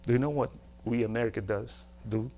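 A man's voice: medium pitch, steady tone, a little fast, warm tone, slight background noise. A middle-aged man from Brazil, a native Portuguese speaker with a Brazilian accent, preaching emphatically in short phrases and rhetorical questions. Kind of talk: sermon.

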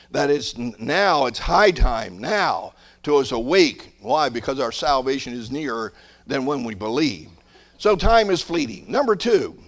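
A man in his sixties preaching a sermon, swelling to emphatic peaks and dropping to quiet asides, often repeating words as he builds a point. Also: a little high. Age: 60s. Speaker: pastor.

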